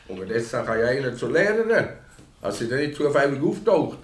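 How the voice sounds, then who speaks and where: Ein Schauspieler mit Schweizer Akzent spielt in einem Hörspiel einen groben, spöttischen, ungeduldigen Bauern. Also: male voice, medium pitch, very animated, fairly quick, reverberant, lightly acted. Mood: mocking. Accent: Swiss. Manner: sneering, blunt. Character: coarse, mocking, impatient male village farmer. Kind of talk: radio play acting.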